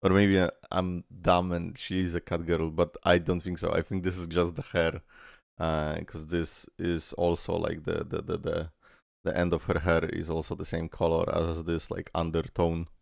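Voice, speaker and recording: A man's voice: sits low, monotone, normal speed, gravelly, dry recording, studio quality. A young man casually reacting to game trailers, rambling, swearing and laughing between thoughts. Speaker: reaction video creator.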